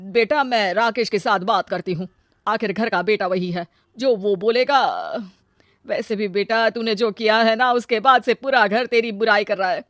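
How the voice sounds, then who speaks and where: Lady doing protective mom in cartoon story, coaxing motherly voice, mid pitch. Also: moderate pace, a bit bright, no echo, studio quality, close to an everyday voice. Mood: reasoning. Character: protective, soothing middle-aged mother.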